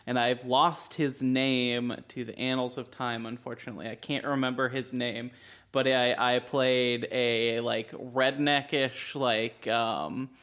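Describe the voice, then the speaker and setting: Casual, halting man reminiscing on a podcast. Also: mid-range voice, little pitch movement, fairly bright tone. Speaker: podcast co-host. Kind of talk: casual chat, recalling roleplaying characters.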